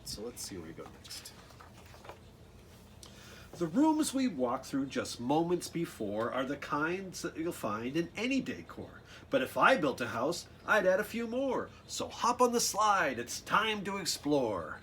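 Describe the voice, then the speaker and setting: A man's voice: on the high side, wildly swooping pitch, a little slow, sharp tone, a little hiss or hum. A man reading a children's picture book aloud expressively, with casual asides addressed straight to young listeners. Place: outdoors.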